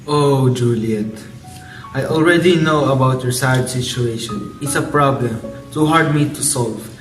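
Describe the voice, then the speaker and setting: A wise, fatherly friar acted by a man gives grave counsel in a student radio play. The voice is somewhat distant from the mic and echoey. Music is clearly heard behind it. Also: medium pitch, measured pace, close to an everyday voice. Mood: grave, sympathetic. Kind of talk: student radio drama acting of modernised Shakespeare.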